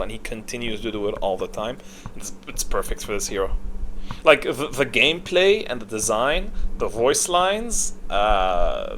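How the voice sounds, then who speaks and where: A man's voice: mid-range voice, fairly bright tone, a little hiss or hum. A man giving casual, rambling tier-list commentary as a gaming video creator, opinionated and informal with some swearing.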